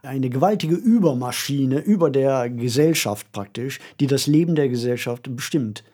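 A man's voice: mid-range voice, animated, moderate pace, warm tone, breathy edge. A man co-hosting a podcast in casual discussion. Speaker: podcast co-host.

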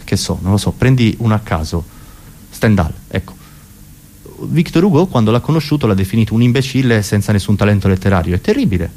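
Rambling comedian, wildly swinging pitch. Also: male voice, animated, fast talker, a little mellow. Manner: rambling, self-interrupting, neurotic. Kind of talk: comic cabaret monologue.

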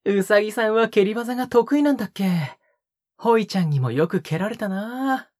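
Breathy teasing young guy played by a woman, possessive and fake sweet, voice swings around a lot, audio drama, close dry sound. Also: medium pitch, lively intonation, moderate pace, darkish tone, no room sound, close to an everyday voice. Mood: mildly scolding. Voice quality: breathy, often dropping to a whisper. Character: soft-spoken, teasing, possessive young man, sweetly menacing. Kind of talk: intimate audio drama acting.

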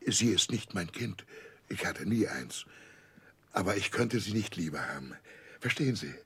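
An actor in a radio play playing a warm-hearted, simple seafaring uncle with a rough, husky voice and an emotional, halting way of telling things. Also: male voice, deep voice, animated, full character voice. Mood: tender, proud. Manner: emotional, halting, emphatic storytelling with exclamations. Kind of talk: 1960s German radio play acting.

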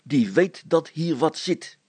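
A man's voice: a little high, lively intonation, slow pace, a little mellow, slightly rough, no echo, heavily acted. A man voicing a self-important, gossipy village policeman who talks in a hesitant, confiding and bossy way in a storybook reading. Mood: condescending. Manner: hesitant, confiding, bossy, stammering over words.

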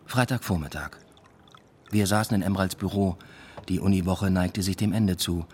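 A man's voice: low-pitched, normal speed, a little mellow, slightly rough. An actor playing a weary, introspective male academic, narrating a radio drama in a reflective, understated way. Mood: subdued, weary. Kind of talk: radio drama first-person narration and dialogue.

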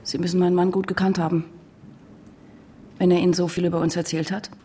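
A woman's voice: fairly low pitch, level tone, fast, mellow, slight background noise, close to an everyday voice. An actress in a radio drama playing a tense, defiant wife of a missing man, speaking in a controlled, insistent way. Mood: cold, threatening. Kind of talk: radio drama acting with inner monologue narration. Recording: studio radio play with music underneath.

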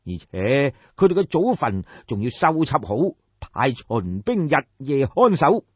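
A man voicing a pompous, husky ancient king. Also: mid-range voice, big pitch swings, measured pace, dry recording, highs cut off. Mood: grave, commanding. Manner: pompous, rhetorical, sighing and explaining at length. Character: shrewd, commanding ancient king lecturing his court. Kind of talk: dramatised audiobook storytelling.

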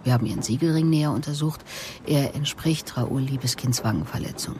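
An actress playing a composed, relentless police investigator in a German radio drama, speaking in a measured, deliberate way. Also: female voice, rumbling low, background noise. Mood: probing. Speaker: interrogator and narrator. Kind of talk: German radio drama acting with introspective narration.

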